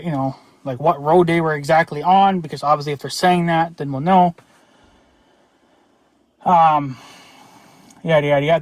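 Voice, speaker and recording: A man's voice: on the high side, dry recording. An American man talking casually in a rambling, blunt vlog style, with some mild swearing. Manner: rambling, blunt, mildly profane. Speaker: YouTube reviewer and vlogger.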